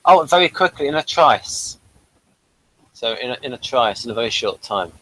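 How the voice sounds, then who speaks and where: Lively-pitched man hosting a casual review. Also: normal speed. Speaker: review show host. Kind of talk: casual, unscripted review chat. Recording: noisy room ambience.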